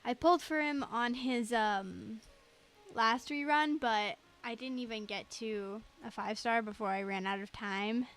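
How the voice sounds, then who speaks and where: A woman's voice: on the high side, measured pace, a bit bright. A woman livestreamer chatting casually over a game, giggly, rambling and self-deprecating. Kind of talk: casual gaming livestream chat.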